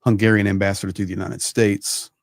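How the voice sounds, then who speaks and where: A man with a somewhat low voice co-hosts a live stream, and he sounds close and dry. He talks emphatically, agrees strongly with his partner and thanks viewers warmly by name. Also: level tone, brisk, no room sound. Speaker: co-host. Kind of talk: casual live chat.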